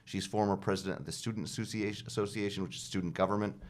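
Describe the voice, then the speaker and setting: A man hosting a studio radio interview, asking questions in a friendly, halting way. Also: low-pitched, steady tone, slight background noise. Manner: friendly, halting, lots of fillers and restarts. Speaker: host.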